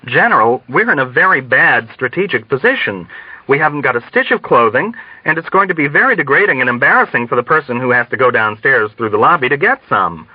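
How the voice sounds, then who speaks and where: A man's voice: medium pitch, sing-song, brisk, slightly rough, no room sound, muffled recording, pitched above the performer's own voice. A man reading a novel as a deferential male officer, urgent and flustered. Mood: urgent, anxious. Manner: urgent, anxious.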